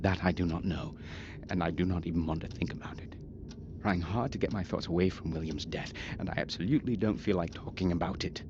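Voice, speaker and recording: Game role: aloof castle lord, very low voice, measured and formal, muffled recording. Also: male voice, fairly even intonation. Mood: dismissive, guarded. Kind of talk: scripted video game voice acting. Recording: muffled, very noisy game audio.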